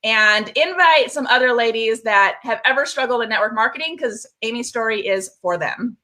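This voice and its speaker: A bubbly woman hosting a live show over a video call. Her voice sits a little high and rings very bright. She keeps the energy up and talks straight to her viewers. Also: expressive, moderate pace, rough recording. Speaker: host.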